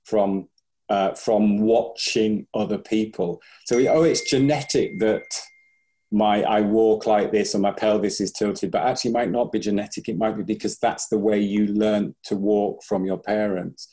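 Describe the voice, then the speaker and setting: Man, breathing coach, podcast interview, warm mellow voice, many restarts, quotes clients in character. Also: lowish voice, fairly even intonation, moderate pace. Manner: thoughtful, restarts often, acts out clients' voices.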